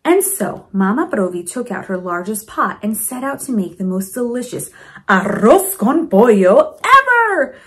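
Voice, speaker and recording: A woman reading a storybook aloud to children in an expressive, encouraging way, with teaching asides. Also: a little high, unhurried. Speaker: principal reading a picture book to children.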